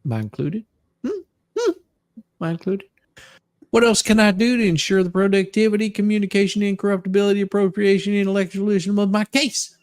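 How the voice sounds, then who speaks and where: A woman with a medium-pitched voice, speaking as a podcast co-host. Her delivery is casual and blunt, with a joking tone in both commentary and reading aloud. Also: fairly even intonation, fairly quick, a little mellow.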